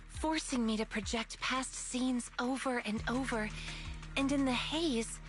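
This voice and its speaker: A gentle young woman in a story-driven game. Her voice sits a little high and comes out soft, earnest and measured. She sounds apologetic and sincere, with faint music underneath. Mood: troubled. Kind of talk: video game story voice acting.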